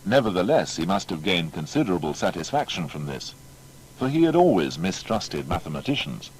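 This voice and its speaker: A man narrates a scripted biography. His voice is very deep and moves widely in pitch, with formal, emphatic phrasing. A little background noise sits under it. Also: big pitch swings, a little fast, low-quality recording.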